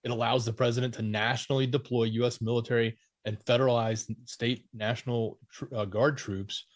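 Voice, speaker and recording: A man's voice: mid-range voice, level tone, brisk, no echo, studio quality. A man co-hosting a news show, reporting and analyzing in an informal, explanatory, conversational way.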